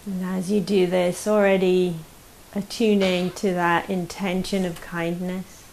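A woman leading a guided meditation as a teacher, speaking gently and unhurriedly with long pauses. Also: on the low side, level tone, vocal fry, low-quality recording. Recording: faint hiss behind the voice.

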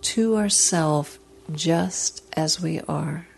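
Slow, low-pitched woman guiding meditation. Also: gravelly, faint music behind. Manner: soothing, gentle, unhurried with long pauses. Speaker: meditation teacher leading a guided meditation. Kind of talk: guided meditation.

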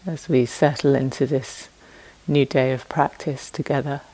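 A woman meditation teacher giving guided instructions in a gentle, unhurried manner, leaving long pauses between phrases. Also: a bass voice, fairly even intonation, rough recording.

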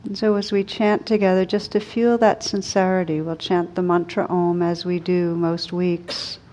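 A woman meditation teacher leading a guided meditation in a gentle, unhurried, soothing way with long pauses. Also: lowish voice, little pitch movement, poor audio.

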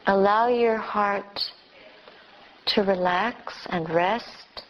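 A woman teacher leading a guided meditation with gentle instructions in short, spaced phrases. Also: medium pitch, drawn-out words. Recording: dull, lo-fi recording.

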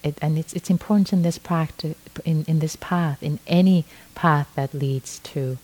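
A middle-aged woman from Iran, a native Farsi speaker, giving a gentle dharma talk as a meditation teacher, often restarting her phrases. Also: deep voice. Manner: slow, gentle, with frequent restarts.

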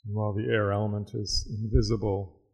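A man teaching a guided meditation, speaking in a measured, reflective way with long pauses. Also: fairly low pitch, level tone, husky.

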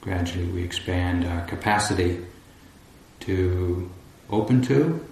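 A man guiding a meditation as a teacher, speaking calmly and deliberately in short phrases with long pauses. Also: sits low, creaky. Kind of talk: guided meditation instruction. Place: large echoey indoor room at a retreat. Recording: roomy echo, faint background noise.

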